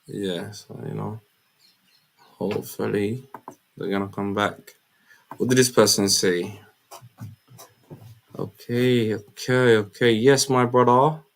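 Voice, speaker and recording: A man's voice: lowish voice, fairly even intonation, takes it slowly, creaky, pauses often, slight background noise. Slangy British man hosting a live stream. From Somaliland. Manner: informal, slangy. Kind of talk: casual live chat.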